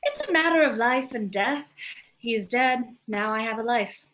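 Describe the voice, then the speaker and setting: A woman's voice: fairly high pitch, expressive, normal speed, a bit bright, slight background noise, poor audio. A woman playing a cool, unsentimental widow with a dry, morbid manner in a script table read.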